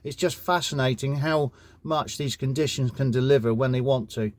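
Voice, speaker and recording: A man's voice: mid-range voice, normal speed. A man with an English accent chatting courteously as an amateur radio operator during a casual contact. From United Kingdom.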